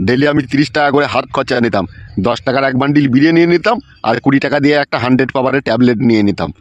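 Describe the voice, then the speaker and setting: A man's voice: mid-range voice, very fast, dry recording, lo-fi sound, lightly acted. A man voicing an aggrieved, boastful old village husband in a comic cartoon, cursing and grumbling in a rural West Bengal accent. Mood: indignant boasting.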